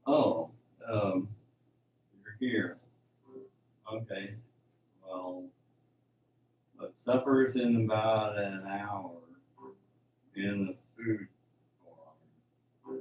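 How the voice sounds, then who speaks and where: Radio play role: meek dreary farm manager, played by a man, crawling hesitant mumble, dull echoey recording. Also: low-pitched. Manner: hesitant, mumbling, long pauses. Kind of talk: amateur radio drama acting. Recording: dull, roomy, distant, faint hiss.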